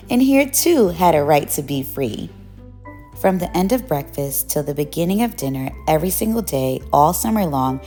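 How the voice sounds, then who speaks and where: Woman, storyteller, expressive picture-book narration, unhurried delivery, creaky fry in the voice. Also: sits low. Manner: measured storytelling, acts out children's quoted calls. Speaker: read-aloud storyteller.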